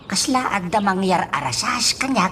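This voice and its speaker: Grumbling old father, wildly swinging pitch. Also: sing-song, unhurried, pushed and bright, slight background noise, exaggerated voice. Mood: plaintive, weary. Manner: grumbling, repeats a plaintive exclamation. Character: complaining elderly father, a weary laborer. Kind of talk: Ilocano radio drama acting. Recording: music behind the voice.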